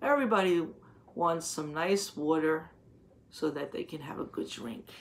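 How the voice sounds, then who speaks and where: A woman reading a picture book aloud to young children, explaining it as a teacher would and asking simple questions, with deliberate, drawn-out phrasing. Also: low voice, background noise.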